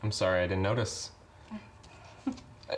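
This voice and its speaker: A man's voice: low voice, fairly even intonation, unhurried. A young man playing an awkward, bookish aspiring writer, stammering nervously and eagerly in a web series. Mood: sheepish. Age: young adult. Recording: echoey room with noisy ambience.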